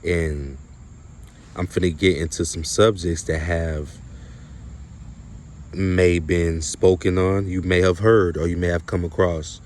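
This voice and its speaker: A man delivering an unscripted spiritual monologue to viewers, halting and emphatic, often repeating his phrases. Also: low voice, a little mellow, slight background noise. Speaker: spiritual video creator.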